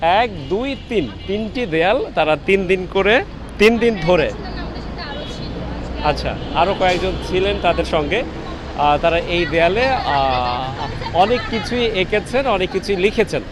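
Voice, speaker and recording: High-pitched Bangladeshi male reporter, noisy street. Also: animated, a little slow, a crowd in the background. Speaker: reporter interviewing students. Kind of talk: on-location reporting and interviewing. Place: outdoors on a university campus. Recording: noisy outdoor street with traffic.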